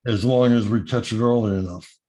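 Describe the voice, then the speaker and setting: A man's voice: fairly low pitch, fairly quick, warm tone. Frank man on a video call. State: voice changed by illness. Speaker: meeting participant. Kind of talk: casual video call chat.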